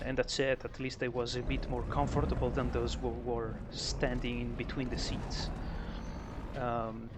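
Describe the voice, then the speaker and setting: A man from northern Italy, chatting casually and hesitantly to his viewers during a game stream, with lots of ums and restarts. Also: medium pitch. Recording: steady background noise.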